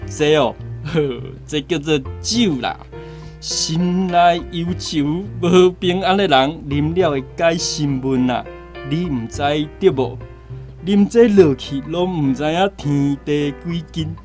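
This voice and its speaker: A man's voice: a little high, slow, darkish tone. A man acting in a Taiwanese radio drama as a carefree, hearty drinker with a loose way of talking. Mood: tipsy, coaxing. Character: carefree, laughing male drinker urging others to drink. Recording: plucked guitar music behind voice.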